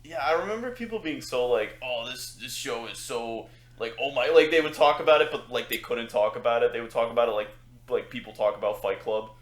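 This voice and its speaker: A man's voice: mid-range voice, fairly quick. A man co-hosting a casual podcast, swearing freely and mimicking other people as he talks. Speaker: podcast co-host.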